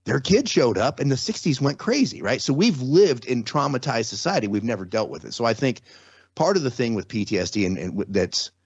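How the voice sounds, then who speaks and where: A man's voice: medium pitch, very animated, a little fast, husky, dry recording. A middle-aged man speaking as a guest in a casual interview, rambling with many restarts and tag questions. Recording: dry, dull lo-fi sound.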